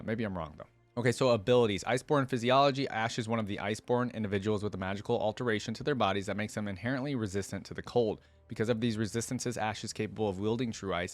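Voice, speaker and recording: Low-voiced man in casual reaction commentary. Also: dry recording. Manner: informal, interjects own thoughts while reading. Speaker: reaction video host. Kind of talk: casual reaction commentary, reading lore aloud with asides.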